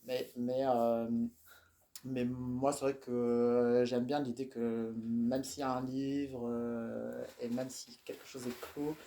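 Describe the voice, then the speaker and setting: A man in a casual discussion, speaking in a relaxed way and thinking aloud with fillers. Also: mid-range voice, takes it slowly. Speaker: poet.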